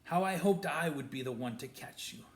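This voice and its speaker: A man's voice: on the high side, animated, moderate pace, a little hiss or hum, close to an everyday voice. A man doing a silky, sneering wizard professor. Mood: menacing. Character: cold, spiteful male wizard professor. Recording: roomy echo.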